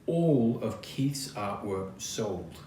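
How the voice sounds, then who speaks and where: A man's voice: on the low side, extremely slow. A man reading a children's picture book aloud expressively, adding chatty little asides as he goes. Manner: expressive, acts out quoted lines, chatty asides. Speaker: storybook reader. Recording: roomy echo, faint background noise.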